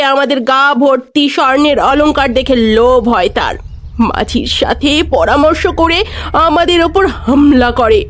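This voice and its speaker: A woman playing the vengeful ghost of a murdered young woman in a horror audio story, menacing and repeating phrases with emphasis. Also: high voice. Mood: bitter grief.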